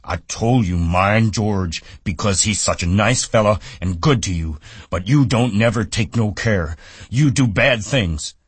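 A man's voice: on the low side, unhurried, slightly rough, no echo, pitched above the performer's own voice. A man voicing a disapproving little old woman who nags and scolds sharply. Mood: scolding.

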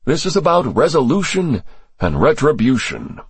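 A man voicing an assured male intelligence operative in an audiobook thriller, speaking in a measured, collegial way without hesitation. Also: fairly high pitch, wildly swooping pitch, vocal fry, breathy edge, no echo, voice pushed up, heavily acted. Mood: unhesitating, firm.

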